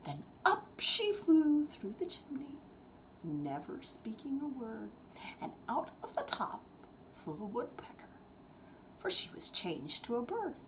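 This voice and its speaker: An older woman tells a bedtime story in verse to children, with a breathy, often hushed, expressive delivery. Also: a little high, a little slow, slight background noise, low-quality recording.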